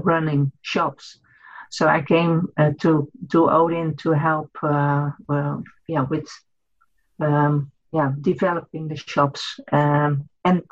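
A Dutch-accented woman in her fifties, a podcast guest, speaking haltingly with many fillers and restarts. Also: deep voice. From Netherlands. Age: 50s. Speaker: guest, cooperative chairwoman. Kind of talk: podcast interview. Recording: dull lo-fi audio.

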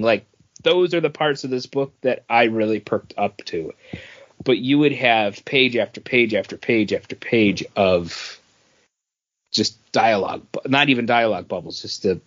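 A man's voice: medium pitch, a bit bright. A man talking casually and bluntly as a podcast panelist giving a review. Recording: dull, lo-fi audio.

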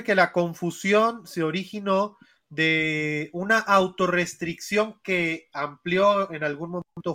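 A man co-hosting a football podcast, explaining points in a measured, formal way. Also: sits high, bright, forceful tone. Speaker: podcast co-host. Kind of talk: sports podcast discussion.

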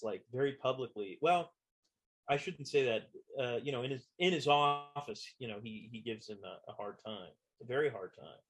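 A man's voice: mid-range voice, lively intonation, normal speed, a bit bright, husky. A man chatting casually, hedging and filling his talk with "you know" and "like". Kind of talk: casual chat about a TV show.

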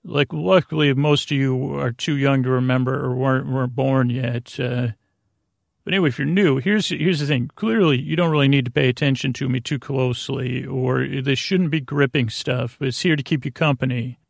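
A man in his forties hosting a sleep podcast, rambling casually, halting, repeating himself and trailing off mid-thought. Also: mid-range voice, creaky, no room sound, clean studio sound. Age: 40s. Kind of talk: rambling casual bedtime monologue.

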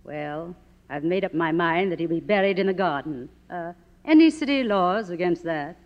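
An actress playing a middle-aged, faded silent film star in a 1950s radio drama, grandiose, bitter and theatrical. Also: female voice, lowish voice, expressive, normal speed, lo-fi sound, close to an everyday voice. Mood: imperious. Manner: theatrical, imperious, declamatory.

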